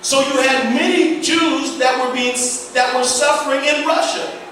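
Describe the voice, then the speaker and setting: A man with a notably high, bright voice preaches in a slow, halting way, often repeating himself as he reads scripture. He sounds distant from the microphone, with long echo and a faint hum of room ambience behind him. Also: steady tone. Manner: slow, stumbling over Hebrew names, repeats words. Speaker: pastor. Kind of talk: Bible teaching sermon. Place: church hall. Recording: echoey hall, voice far from mic.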